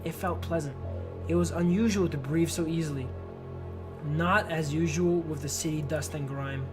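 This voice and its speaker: Guy streaming a visual novel, reads it out loud, slangy, trips over long words, music playing behind him. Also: a little high, steady tone, moderate pace. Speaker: livestreamer reading a visual novel aloud. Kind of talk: narrating game text, casual chat with viewers.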